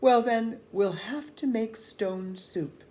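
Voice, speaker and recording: A woman's voice: on the high side, takes it slowly, a little mellow, pitched above the performer's own voice. A woman voicing hungry, hopeful soldiers in a storybook, with an emphatic, declaiming delivery. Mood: bold, proclaiming. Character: hungry, bold, hopeful marching soldiers, adult men. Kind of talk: storybook character reading.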